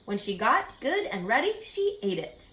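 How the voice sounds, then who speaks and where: A woman voicing a kindly, bossy, quick-witted female spider in a children's storybook, articulate and telling tales with relish. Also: on the high side, very animated, a bit bright, roomy sound, rough recording. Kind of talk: children's storybook read-aloud.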